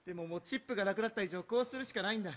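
A man's voice: high-pitched, racing, close to an everyday voice. An actor playing a desperate, bitter young man holding a hostage in a stage play. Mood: bitter, anguished. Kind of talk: theatrical stage acting in Japanese. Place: theater stage.

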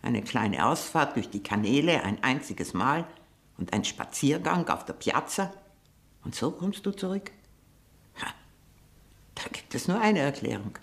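A scornful old aunt in a German radio play. Her pitch swoops widely as she scolds and schemes, biting and insistent. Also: big pitch swings, unhurried, pauses often, heavily acted. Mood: mocking.

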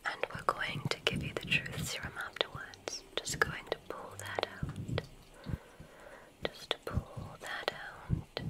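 A woman whispering softly and breathily in an ASMR roleplay as a gentle, soothing witch doctor, close to the microphone. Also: low-quality recording. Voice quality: breathy, mostly unvoiced whisper. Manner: soothing, gentle, unhurried reassurance. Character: kindly witch doctor giving a magic school exam.

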